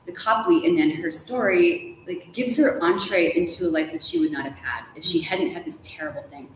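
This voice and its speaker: Woman storyteller, lowish voice, echoey room. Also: fairly quick. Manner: animated storytelling, informal, uses "like" often. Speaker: author, interview guest. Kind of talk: casual interview chat. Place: large hotel room, indoors. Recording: echoey room, faint background noise.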